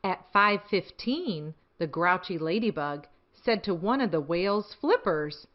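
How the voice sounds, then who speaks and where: A woman's voice: on the low side, expressive, unhurried, reverberant, dull audio. A woman hosting a children's storytime, reading aloud expressively and chatting enthusiastically with young listeners. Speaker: children's storytime host and reader.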